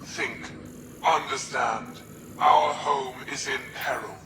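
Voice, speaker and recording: A man's voice: mid-range voice, fairly even intonation, lightly acted. Echoing, imperious alien zealot acted by a man. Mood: grave contempt. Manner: formal, deliberate, menacing. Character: imperious alien military zealot, cold and scheming. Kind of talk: dramatic video game acting. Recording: echoing, with music behind.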